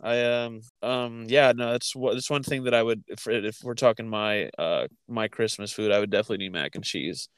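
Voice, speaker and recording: A man chatting casually as a podcast co-host, haltingly thinking aloud as he goes. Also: lowish voice, clean tone, dry recording. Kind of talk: casual chat.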